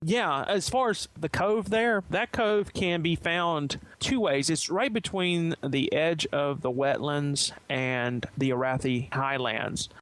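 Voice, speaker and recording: Guy with a slightly high voice explaining stuff step by step, dry recording. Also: takes it slowly, hardly any pauses, a bit bright, dry recording. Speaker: podcast panelist. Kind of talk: casual explanation.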